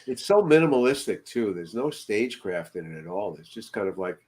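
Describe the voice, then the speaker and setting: A man's voice: fairly low pitch, very animated, a little fast, a crowd in the background. An older man chatting casually on a podcast video call, telling anecdotes in an emphatic way and repeating words for emphasis. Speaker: podcast co-host. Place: room at home, video call.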